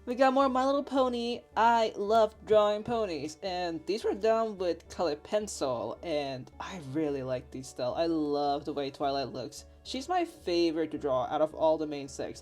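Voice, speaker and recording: A woman's voice: lowish voice, expressive, normal speed, hardly any pauses, darkish tone. Giggly young woman with a Finnish accent. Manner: bubbly, giggly, rambling with fillers. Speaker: artist showing her sketchbook. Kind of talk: casual voice-over chat. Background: faint background music.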